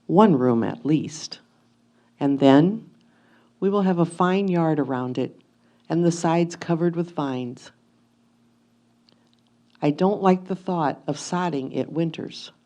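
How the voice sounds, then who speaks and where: Lady acting an 1850s wife reading her letter, soft dark mellow voice, curious and a bit worried, gentle and deliberate. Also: on the low side. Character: anxious, curious 1850s wife writing to her absent husband. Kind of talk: reader's theatre letter reading.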